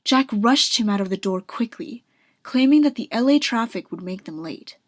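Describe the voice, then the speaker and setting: A woman's voice: on the high side, lively intonation, moderate pace, dry recording, studio quality. A woman reading scripted narration for a radio drama.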